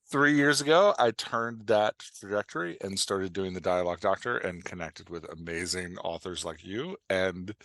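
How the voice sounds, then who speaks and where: A man with a deep voice chats casually as a podcast guest. He thinks aloud in short, halting phrases, and the sound is dry and close. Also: a bit bright, no echo. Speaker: podcast guest, author and writing coach. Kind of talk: casual interview chat.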